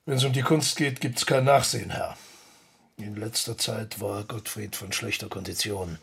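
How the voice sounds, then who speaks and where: An actor playing a proud, exacting baroque musician in a German radio drama, husky and creaky, with formal old-fashioned phrasing. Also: male voice, on the low side, moderate pace, fairly bright tone, exaggerated voice. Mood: defensive, strained. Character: proud, exacting baroque musician, defensive and devoted to his art.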